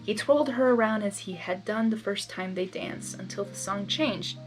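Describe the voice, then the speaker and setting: A woman's voice: medium pitch, moderate pace, faint music behind, rough recording. A woman reading a story aloud as an amateur, with casual, giggly, self-deprecating asides and stumbles over words. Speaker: amateur fan fiction reader.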